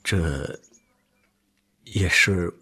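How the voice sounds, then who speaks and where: An actor playing a gravely ill, resigned army man in a radio drama, speaking haltingly and weakly. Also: deep voice, bright, forceful tone, full character voice. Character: gravely ill older army friend, weary, resigned, earnest. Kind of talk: broadcast radio drama acting.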